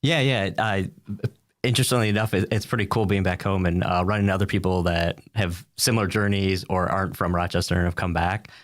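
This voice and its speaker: An American man with a deep voice talks as an interview guest. His answers are casual and wandering, padded with small filler phrases. The sound is dry, with little room around it. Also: fairly even intonation, fast talker, no echo. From United States, upstate New York. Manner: rambling, peppered with "you know" and "like".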